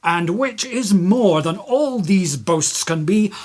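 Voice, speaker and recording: A woman plays an ardent young man in love in a Shakespearean radio play. The verse comes slowly and proudly, with lively, swooping intonation and romantic, declamatory weight. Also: medium pitch. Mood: proud, defiant. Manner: declamatory, poetic verse delivery.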